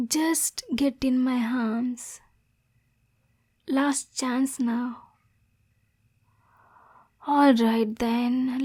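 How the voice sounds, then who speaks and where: A woman playing a lonely, lovesick demon girl in an intimate ASMR roleplay, softly spoken and breathy, nervous yet possessive. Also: high voice, full character voice. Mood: coaxing, possessive.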